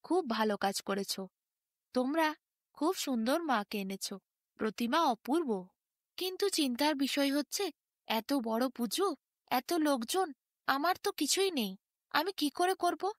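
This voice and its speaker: A frugal, devout old grandfather from a storybook , voiced by a woman. He frets dramatically in a high voice with very lively pitch, close and dry. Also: a little slow, long pauses, dry recording. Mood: gentle, worried. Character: frugal, devout old grandfather, anxious but gentle scholar.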